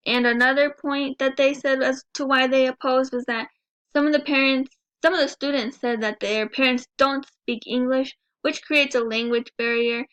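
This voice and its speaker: A young woman in her early twenties, a native Spanish speaker, presents to her class with a slightly high voice. She talks earnestly and personally, often restarting a phrase and working her way to the point. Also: fairly even intonation, moderate pace, a bit bright, clear, resonant tone, lo-fi sound. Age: early 20s. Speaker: student presenter.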